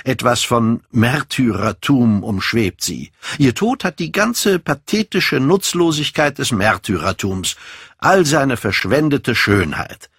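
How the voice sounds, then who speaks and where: A man's voice: medium pitch, expressive, slow pace, fairly bright tone, slightly rough, no echo, clean studio sound, pitched above the performer's own voice, full character voice. A man voicing a vain, callous young aesthete in a haughty, declamatory audiobook style. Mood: passionate, self-absorbed. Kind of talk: dramatic audiobook character reading.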